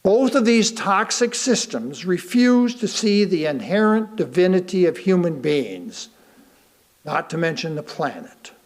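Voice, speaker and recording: Guy reading a sermon to a congregation, pretty high voice, slow and deliberate w pauses. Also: very animated. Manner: deliberate, with pauses between short phrases. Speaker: guest speaker reading a sermon. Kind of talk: sermon read aloud to a congregation.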